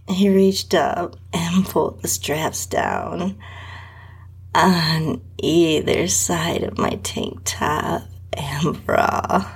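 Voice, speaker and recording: A woman narrating intimate erotic fiction in a sultry, lingering, breathy voice that often drops to a whisper. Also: low-pitched. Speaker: podcast host reading own story. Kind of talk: slow, intimate erotica narration in the first person.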